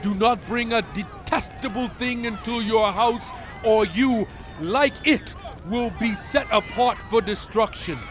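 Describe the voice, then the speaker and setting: Music plays beneath a commanding male prophet in a dramatized scripture recording. His voice sits a little high for a man and moves slowly and deliberately. He is solemn, stern and fervent, stressing his phrases like an orator addressing his people. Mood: stern, forceful. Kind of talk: dramatized audio Bible oration.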